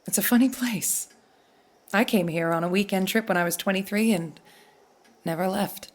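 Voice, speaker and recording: An actress plays a practical island landlady in a naturalistic audio drama. Her voice is middle in pitch, and she speaks in a plain, obliging, motherly way. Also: normal speed, lightly acted. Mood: reassuring. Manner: matter-of-fact, obliging. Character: practical, kindly island guesthouse keeper and mother. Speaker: guesthouse landlady.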